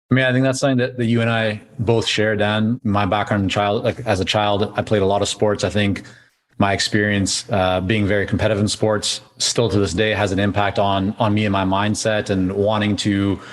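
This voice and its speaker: A man from Canada hosts a podcast conversation, his voice on the lower side. He shapes long, considered questions and keeps the exchange relaxed and conversational. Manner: thoughtful, drawn-out questions. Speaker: podcast host. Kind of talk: conversational interview.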